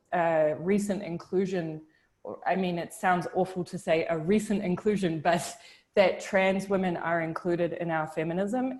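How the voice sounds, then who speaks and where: Young woman from New Zealand, video-call chat, thoughtful, halting, lots of restarts. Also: lowish voice, a little mellow. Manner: thoughtful, hesitant, many restarts and fillers. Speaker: discussion participant. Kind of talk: casual video-call discussion.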